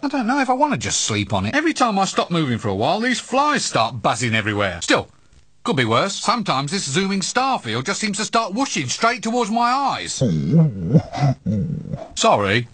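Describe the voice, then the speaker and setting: Hapless game wizard, exasperated sarcasm, wildly swooping intonation, bright edge. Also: male voice, high voice, wildly swooping pitch, normal speed, breathy edge, no echo, exaggerated voice. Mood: hesitant, wheedling. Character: hapless sardonic cowardly male assistant wizard. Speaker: video game player character. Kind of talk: scripted comic game dialogue.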